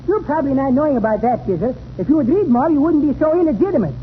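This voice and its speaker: Casting: cheerful, excitable Greek word-mangler, 1930s radio comedy, Greek accent, extremely high pitch, tinny vintage recording. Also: male voice, rapid-fire, heavy background noise. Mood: storytelling, breezy. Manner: full of malapropisms, garbled grammar.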